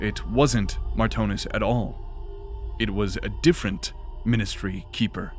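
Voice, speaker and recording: Man narrating a dark fantasy drama. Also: lowish voice, fairly even intonation, faint music behind. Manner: measured, dramatic reading.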